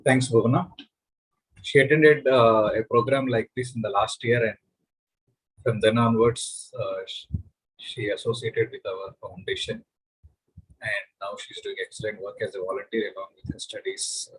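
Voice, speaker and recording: A man with a raspy, husky voice leads the group over a video call. He speaks calmly and plainly and asks others to share. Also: on the low side, moderate pace, rough recording. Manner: calm, plain, inviting people to share. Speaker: meditation session leader. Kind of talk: online group session hosting.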